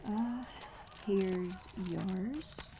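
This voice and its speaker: Brisk, wry outcast woman played by an actress. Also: medium pitch, background noise. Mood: awkward, reflective. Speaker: voice actor. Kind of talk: fantasy audio roleplay acting.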